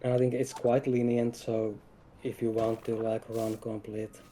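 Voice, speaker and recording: Flat-toned man in casual developer chat. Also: mid-range voice, unhurried, a little hiss or hum. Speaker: game developer guest. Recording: faint music behind the voice.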